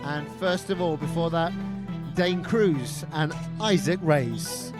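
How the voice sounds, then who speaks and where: Excitable high-voiced male drift commentator. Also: takes it slowly, loud music behind the voice. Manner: excitable, jokey asides between calls. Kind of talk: live motorsport commentary.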